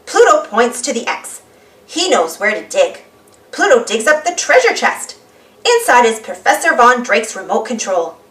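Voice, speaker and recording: A woman narrating a children's storybook in an animated read-aloud style. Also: fairly high pitch, normal speed, distant mic. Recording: roomy, voice away from microphone.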